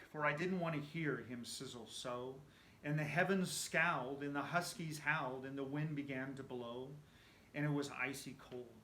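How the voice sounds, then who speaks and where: A man giving an animated, theatrical recitation of a narrative poem, often dropping to a hush as phrases end. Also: medium pitch, echoey room, slight background noise, low-quality recording. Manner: animated, theatrical storytelling with hushed phrase endings. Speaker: amateur poetry reciter.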